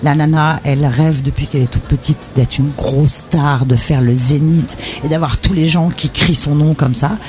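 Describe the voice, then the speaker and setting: A woman from northern Charente in France, a festival performer, chatting casually and lively as a guest on a festival radio show. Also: low voice, normal speed, hardly any pauses. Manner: lively, talkative, switches to familiar tu. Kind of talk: casual radio interview chat.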